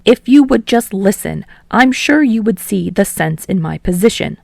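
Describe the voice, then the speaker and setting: A woman voicing a loud, authoritative young man with a stiff, earnest, formal manner in an audiobook story. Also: mid-range voice, lively intonation, moderate pace, creaky, dry recording. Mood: insistent.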